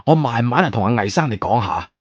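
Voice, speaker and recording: A man's voice: medium pitch, expressive, dry recording, dull audio, lightly acted. A man playing a polite, anxious museum director, courteous and hesitant, in an audio drama. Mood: obliging. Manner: courteous, hesitant, drawn-out obliging phrases. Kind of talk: Cantonese audio drama acting.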